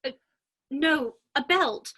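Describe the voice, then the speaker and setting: A woman's voice: high-pitched, slow, pushed and bright, exaggerated voice. A woman playing a polite, earnest, curious little girl in a dramatic reading of a children's classic. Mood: polite, puzzled.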